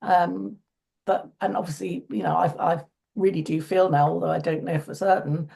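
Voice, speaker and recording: A woman speaking as an interview guest in earnest conversation, her voice croaky today and often restarting phrases. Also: lowish voice, steady tone, normal speed, dark tone. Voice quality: gravelly.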